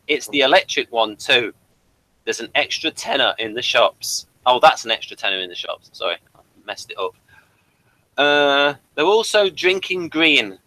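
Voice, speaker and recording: A man's voice: on the high side, bright, forceful tone, no room sound, rough recording. A man hosting a casual review of a TV series, reading from notes with dry, playful asides.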